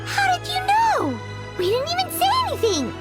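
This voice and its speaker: Brief: tiny chirpy companion sprite, very high and sing-song, game music behind. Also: female voice, wildly swooping pitch, normal speed, full character voice. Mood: amazed. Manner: bubbly, exclaiming, wide swoops of pitch. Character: tiny excitable floating companion, childlike and chirpy. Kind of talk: video game voice acting.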